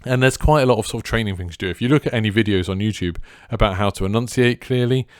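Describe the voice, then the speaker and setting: Casting: man, deep clear voice, easygoing delivery, casual creator advice, very dry sound. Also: quick pace, dry recording. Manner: chilled, laid back. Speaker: streamer and content creator giving advice. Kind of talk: casual advice talk to viewers.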